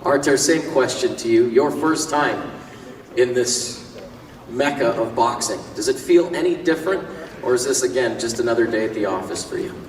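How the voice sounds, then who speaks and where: A man's voice: mid-range voice, moderate pace. A man moderates a press conference, putting questions in an easygoing, conversational tone. He is heard from some distance in a large hall with a long, echoing reverb and faint noise behind. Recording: echoey hall, far from mic.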